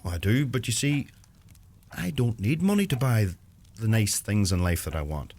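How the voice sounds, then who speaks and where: An actor playing an earnest, plain-spoken young Irish farmer with a Donegal accent, torn by guilt, in a radio play. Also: male voice, lowish voice, very animated, normal speed, darkish tone. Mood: quiet, probing.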